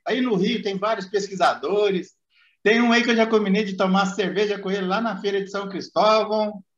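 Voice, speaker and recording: Male podcast host, chatty and wandering, high voice, echoey lo-fi room. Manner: chatty, meandering. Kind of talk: casual interview chat. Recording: roomy, dull lo-fi sound.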